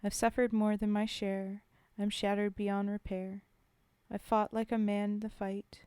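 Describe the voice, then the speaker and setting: A rough, sentimental common soldier from the trenches, read aloud by a woman, speaks his mourning in folksy working-class dialect. The delivery is slow and even, with a mellow, smooth tone and a dry, close sound. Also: medium pitch, no room sound, natural voice. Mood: wistful. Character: rough common male British WWI soldier, grieving comrade. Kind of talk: poetry reading.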